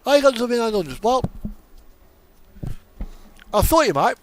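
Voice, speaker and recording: A man's voice: very high-pitched, sing-song, moderate pace, a little hiss or hum. A man chatting casually and offhandedly while playing a truck simulator game. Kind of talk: casual chat during gameplay.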